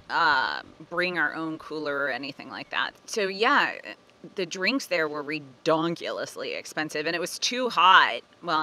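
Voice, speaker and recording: A woman in her forties chatting casually to a phone held at arm's length in a sunny park, animated and laughing at herself. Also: low-pitched. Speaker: family budget vlogger. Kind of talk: casual vlog talk to camera.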